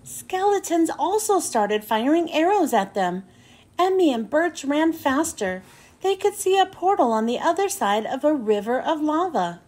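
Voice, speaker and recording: A woman's voice: high voice, very animated, a little slow, darkish tone. A woman reads a children's storybook aloud, phrasing carefully and expressively for young listeners. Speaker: children's book reader and host.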